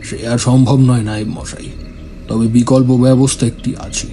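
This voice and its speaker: A man's voice: medium pitch, little pitch movement, moderate pace, mellow, faint music behind, close to an everyday voice. A man playing a grave, solemn village priest and occult healer in an audio horror drama. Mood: solemn, warning.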